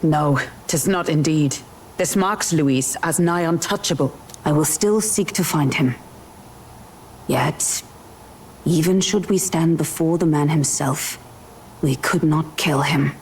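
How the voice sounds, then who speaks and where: A woman playing a grave, composed strategist in a video game, speaking formally in measured, archaic phrases. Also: low-pitched, darkish tone, slight background noise. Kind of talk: dramatic video game voice acting.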